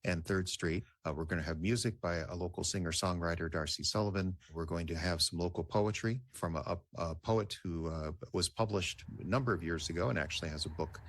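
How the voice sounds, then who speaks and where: A man's voice: low voice, steady tone, brisk, hardly any pauses, dry recording. A man with an American accent explaining things casually in an interview, conversational and a little hesitant. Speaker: interviewee, community theater artistic director.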